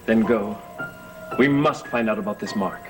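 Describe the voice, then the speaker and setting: An actor playing a grave, earnest man investigating dark powers in a gothic soap opera, speaking deliberately. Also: lowish voice, natural voice. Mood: urgent. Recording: vintage TV soundtrack with music.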